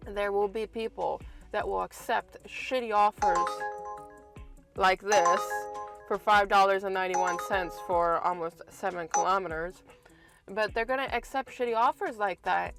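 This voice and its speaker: A woman delivery driver from Canada, raspy and congested from a cold, giving a frank, exasperated vlog from her car. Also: medium pitch. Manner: frank, exasperated ranting with many restarts. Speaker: food delivery driver and vlogger. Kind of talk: casual vlog talk to camera.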